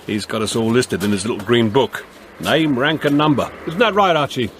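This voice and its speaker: An actor playing a middle-aged, seasoned policeman with a husky voice, steady and firm, in a radio drama. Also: mid-range voice, moderate pace, noisy background, natural voice. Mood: genial. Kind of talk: radio drama acting with first-person narration.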